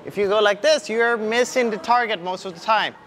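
High-pitched young male coach. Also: brisk, fairly bright tone. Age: young adult. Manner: demonstrating punches while talking. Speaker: kickboxing coach. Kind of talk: instructional demonstration with short cues. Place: large indoor MMA gym. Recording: clearly audible background ambience noise.

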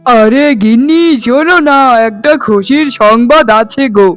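A man plays a proud, cheerful village father in a cartoon tale. He chatters excitedly in a very high male voice, with quiet music underneath. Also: measured pace. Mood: happy, proud. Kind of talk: cartoon storytelling dialogue.

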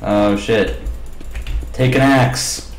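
A man with a slightly raspy voice giving casual commentary over his gameplay, mumbling asides as he plays. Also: fairly low pitch, fairly even intonation, drawn-out words, echoey room. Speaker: gaming channel host.

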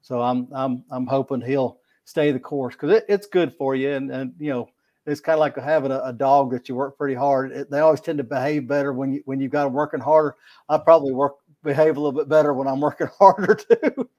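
A man's voice: mid-range voice, fairly quick, darkish tone, lo-fi sound. A middle-aged man, talking in a rambling, folksy way as a guest on a video call, often repeating words. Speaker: podcast guest, kayak angler. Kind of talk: casual video-call interview. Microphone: video call webcam mic.

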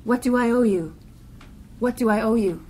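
A woman reading a literary poem aloud in deliberate, clipped phrases. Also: a little high, dark tone, a little hiss or hum. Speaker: poetry reader.

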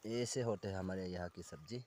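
A young man with a fairly low voice talks viewers through his outdoor cooking in an easy, unhurried way. He speaks into a phone camera held close. Faint outdoor noise sits under his voice. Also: a little mellow. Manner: plain, unhurried explaining, addresses viewers directly. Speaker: cooking vlogger. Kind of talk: casual explaining to camera. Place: outdoors on a forested hillside.

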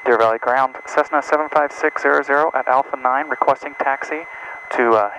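A man's voice: mid-range voice, steady tone, a little fast, sharp tone. An American man in his thirties making clipped pilot radio calls through an aviation headset. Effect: aircraft radio headset. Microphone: aviation headset boom mic. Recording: noisy small-plane cockpit radio with hum.

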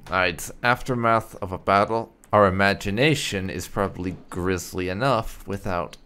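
A man's voice: low-pitched, moderate pace, bright, forceful tone, clean tone. A man giving casual gameplay commentary and reading dialogue choices aloud, in a musing, understated, polite manner. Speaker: game streamer doing roleplay playthrough.

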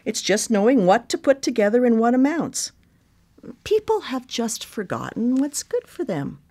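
A woman's voice: on the high side, sing-song, moderate pace, darkish tone. A woman in a live radio drama playing a chef and sister with a dry, blunt, teasing way of talking. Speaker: supporting character in a radio drama.

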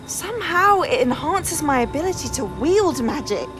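A woman's voice: sits high, sing-song, normal speed, over music. An actress playing an ambitious young witch who urges others to embrace a dangerous power, speaking intensely and persuasively.

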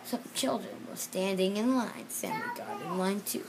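A child reading a children's storybook aloud in a halting way, repeating and correcting words. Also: medium pitch, very animated, noisy background. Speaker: reader.

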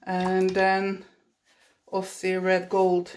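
Slow-talking Norwegian woman demonstrating watercolor. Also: mid-range voice, completely flat delivery, slight background noise. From Norway. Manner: chatty, self-deprecating, laughs often. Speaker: watercolor artist. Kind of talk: casual painting demonstration. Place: indoors at a painting table. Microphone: phone.

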